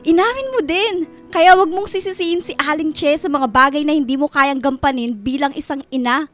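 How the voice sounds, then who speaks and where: An actress playing a wounded, bitter teenage daughter with a Filipino accent in a radio drama. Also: female voice, high-pitched, normal speed, fairly bright tone, faint music behind. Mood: angry, wounded. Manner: accusing, bitter, emotional.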